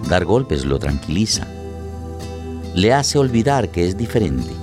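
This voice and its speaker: A man delivering dramatic scripted narration for a radio play in measured, deliberate short phrases. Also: low voice, sing-song, over music.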